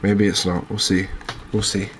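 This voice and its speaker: Very low-voiced man, even ASMR game commentary. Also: moderate pace, a little hiss or hum. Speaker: gaming video host.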